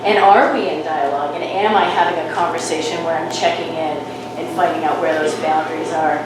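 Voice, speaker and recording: A low-pitched woman speaking earnestly on a panel. She sounds distant in a very echoey hall. Steady background noise runs under her voice. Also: brisk. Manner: earnest, flowing, with many fillers. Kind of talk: panel discussion answer. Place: event hall. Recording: echoey hall from far off, noisy.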